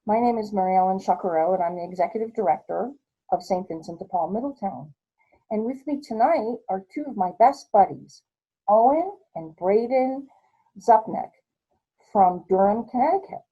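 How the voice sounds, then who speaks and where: An American woman hosting a read-aloud storytime for children in an encouraging, expressive manner. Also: mid-range voice, normal speed, slightly rough, narrow, tinny audio. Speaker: host, nonprofit executive director. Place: office.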